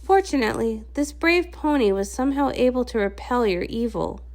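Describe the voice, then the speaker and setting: A woman's voice: fairly high pitch, animated, a little fast, darkish tone. A woman voicing a regal, stern pony ruler in a storybook, with a stately, formal manner.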